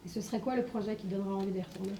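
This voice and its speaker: A woman interviewer asking brisk, short questions. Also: mid-range voice, fairly even intonation, vocal fry, dark tone. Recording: roomy, distant, faint noise.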